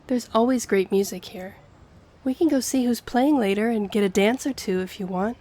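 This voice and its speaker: A woman's voice: fairly high pitch, normal speed, warm tone, natural voice. A woman playing a friendly, earnest young woman with a shy romantic streak in an intimate audio roleplay, speaking to the listener. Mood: chatty, friendly. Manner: chatty, earnest, flustered hesitations when romantic. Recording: clear background ambience noise.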